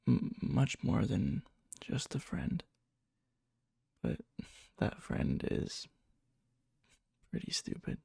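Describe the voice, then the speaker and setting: A man playing a needy, lovesick young best friend in an ASMR roleplay, speaking softly and hesitantly with a breathy, often whispered murmur and nervous stammers. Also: lowish voice, no echo, clean studio sound, heavily acted. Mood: shy, hinting. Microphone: close microphone, dry.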